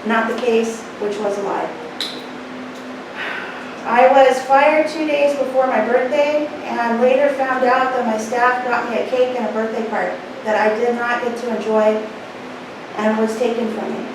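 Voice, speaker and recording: A middle-aged woman delivering a prepared complaint to a board in a formal, deliberate, emphatic way. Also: fairly high pitch. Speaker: former clinic manager giving public comment. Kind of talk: prepared speech of complaint at a meeting. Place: public meeting room, indoors. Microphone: distant room microphone. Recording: very echoey, noisy room, distant mic.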